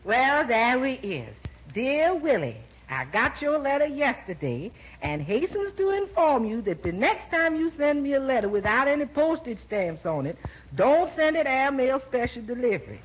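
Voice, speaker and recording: A woman's voice: sits high, big pitch swings, normal speed, breathy edge, heavily acted. An actress playing a sassy, good-humored, outspoken household woman with folksy comic delivery in old-time radio comedy. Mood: playful, mock-scolding. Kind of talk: 1940s radio comedy acting. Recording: muffled 1940s radio recording, faint noise.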